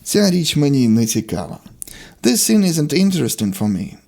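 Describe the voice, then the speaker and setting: Guy teaching english, kinda husky and mellow, short phrases one at a time, pitch moves around a lot. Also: sing-song, normal speed. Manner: instructional, short separate sentences. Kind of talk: language lesson, reading example sentences in Ukrainian and English.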